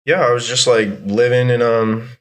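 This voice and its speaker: A man's voice: medium pitch, moderate pace. A young man born in Argentina with Spanish as his first language, talking loosely as a podcast guest, full of fillers and swearing. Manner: relaxed, rambling, heavy filler and swearing. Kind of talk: casual interview chat.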